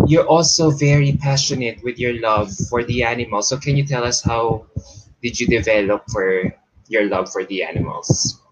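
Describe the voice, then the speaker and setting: A man hosting a live-streamed interview, hesitant and restarting often, quick to laugh. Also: mid-range voice, rough recording. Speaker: show host.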